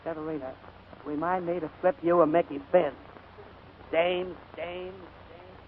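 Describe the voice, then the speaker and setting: A man's voice: a little high, steady tone, a little slow, lightly acted. A man playing a harried, bossy, wisecracking butler in a 1940s radio comedy. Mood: exasperated. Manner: brusque, wisecracking, slangy. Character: gruff, harried male butler, bossy and exasperated older brother. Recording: scratchy, narrow-band 1940s radio broadcast.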